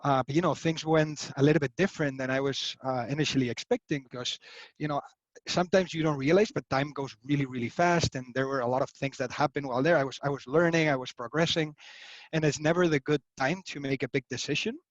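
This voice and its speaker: A man's voice: on the high side, fairly even intonation, fast, no echo, lo-fi sound. A man in his thirties from Spain, chatting as an interview guest over a video call with lots of fillers and restarts. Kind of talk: casual interview answers.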